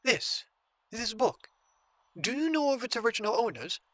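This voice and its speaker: An actor playing an obsessive book collector who grows entranced, in a horror audio drama. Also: male voice, extremely high pitch, big pitch swings, moderate pace, fairly bright tone, no room sound, heavily acted. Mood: anxious, hesitant. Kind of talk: audio drama monologue and dialogue.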